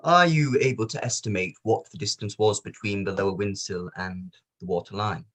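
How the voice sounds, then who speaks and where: A man playing a formal, probing barrister who questions witnesses in a measured, courteous way, in a table read of a courtroom play. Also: on the low side, fairly bright tone.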